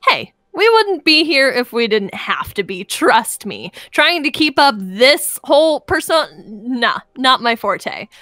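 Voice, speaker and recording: A woman's voice: a little high, very animated, takes it slowly, hardly any pauses, fairly bright tone, no echo, clean studio sound, exaggerated voice. A woman playing a flustered, evasive and sardonic impostor girl from the future in a game roleplay, stammering as she blurts out excuses. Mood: sardonic, exasperated. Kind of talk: improvised Minecraft roleplay acting.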